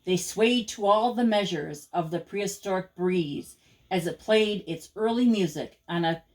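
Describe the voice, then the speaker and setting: An older woman reading children's rhyming verse aloud as a grandmother would at storytime, with a measured, rhythmic delivery. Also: mid-range voice, rough recording.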